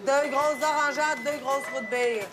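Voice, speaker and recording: An actor voicing a garbled drive-thru intercom, reading orders back in a flat, repetitive way through a crackly speaker. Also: high-pitched, measured pace, music in the background. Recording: noisy intercom sound.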